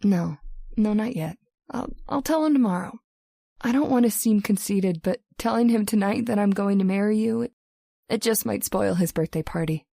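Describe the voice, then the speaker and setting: A woman playing an affectionate young fiancée in a radio drama, gentle and playful. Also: mid-range voice, moderate pace, gravelly, no echo, studio quality. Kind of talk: old-time radio drama acting.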